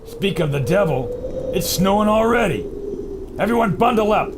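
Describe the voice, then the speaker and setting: An actor playing a brash, boastful filmmaker in an adventure radio serial, bossy and excitable. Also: male voice, high voice, normal speed, noisy background. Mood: hearty, directing. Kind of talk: old-time adventure serial radio acting.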